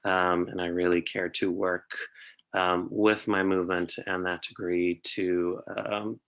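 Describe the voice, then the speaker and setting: Young guy from south africa on a zoom panel, deep flat voice, lots of ums. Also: measured pace, smooth. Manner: thoughtful, frequent ums and uhs. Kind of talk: conversational panel sharing. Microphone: video call.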